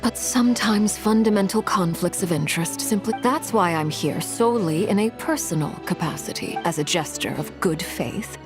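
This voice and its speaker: A woman playing a composed, formal and quietly menacing villainess in a video game, speaking in a measured, guarded way. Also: medium pitch, lightly acted. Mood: diplomatic. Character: composed, formal, menacing adult female Harbinger. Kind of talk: video game cutscene acting. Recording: faint game music behind.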